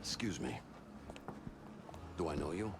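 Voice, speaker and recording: An actor playing a courteous but menacing male mob fixer in a game cutscene, unhurried and wry. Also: very deep voice, lively intonation, noisy background, full character voice. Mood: guarded, wry. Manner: unhurried, wry, understated threat. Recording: music behind the voice.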